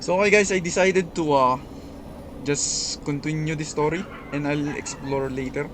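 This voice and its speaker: A man giving casual, halting gameplay commentary full of hesitations, with game music behind him. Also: on the high side, fairly even intonation. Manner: halting, filler-heavy. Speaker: gaming streamer.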